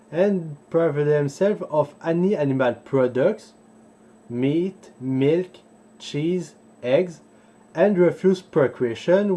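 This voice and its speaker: A man speaks slowly in a mellow, slightly husky voice. He explains history informally, halting to find his words and wandering into asides. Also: medium pitch. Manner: halting, searching for words, digressive. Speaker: host of a history video series. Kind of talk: informal explainer with live translation from a book.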